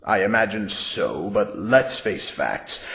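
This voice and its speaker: A man playing a cold, scheming corporate scientist in an audio drama, measured, smug and condescending. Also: fairly low pitch, lively intonation, gravelly, fairly bright tone, slight background noise, full character voice. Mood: smug, calm. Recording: roomy echo, music underneath.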